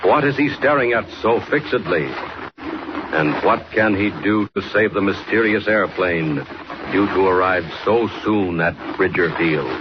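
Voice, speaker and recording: A man's voice: low-pitched, unhurried. A man narrating with suspense in 1940s radio serial style. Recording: muffled, noisy old radio recording.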